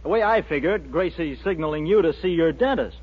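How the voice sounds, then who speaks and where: An American actor playing a breezy, teasing know-it-all college pal in a 1940s radio advert, on an old recording. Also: male voice, a little high, expressive, fairly quick, husky, phone-quality sound.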